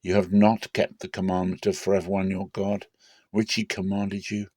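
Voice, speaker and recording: A man's voice: deep voice, level tone, normal speed, a little mellow, no room sound. A stern male prophet, played by a man delivers a grave rebuke. The scripture drama is solemn and commanding, recorded dry and close. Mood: stern, rebuking.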